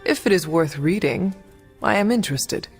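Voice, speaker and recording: An actress as a stiff, formal ancient warrior. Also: female voice, on the low side, animated, soft background music, natural voice. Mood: reserved interest. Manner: formal, literal, measured. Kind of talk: video game cutscene acting.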